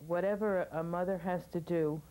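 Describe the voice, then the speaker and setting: A woman's voice: on the low side, fairly even intonation, normal speed, mellow, slight background noise, low-quality recording. A woman staff member speaking steadily and matter-of-factly in an interview.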